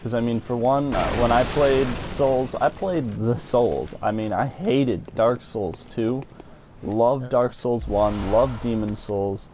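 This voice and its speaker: A man's voice: medium pitch, slow pace. A man giving casual live commentary as a game streamer, rambling and thinking aloud as he plays. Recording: noisy home stream, steady loud background noise.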